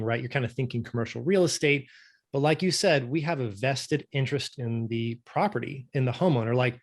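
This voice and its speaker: A man who grew up in Norway speaks as a podcast guest, with a voice of ordinary middle pitch. He explains in a relaxed, conversational way, often checking in with a quick tag question. His manner is patient and explanatory. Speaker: guest, company director.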